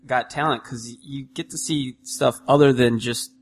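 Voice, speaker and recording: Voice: medium pitch, fairly even intonation, moderate pace. A podcast co-host in casual chat, joking and laughing often.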